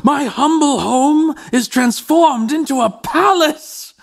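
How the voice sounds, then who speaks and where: A man's voice: extremely high pitch, takes it slowly, gravelly, full character voice. An actor playing a meek, fearful, grateful old man who speaks hesitantly and effusively in old-fashioned formal language in a radio drama. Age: older.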